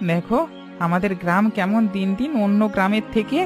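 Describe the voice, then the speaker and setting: A man's voice: sits high, a little fast, over music, close to an everyday voice. A man playing a remorseful, stubborn older village boatman in a cartoon drama, speaking in an earnest rustic manner. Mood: resolved.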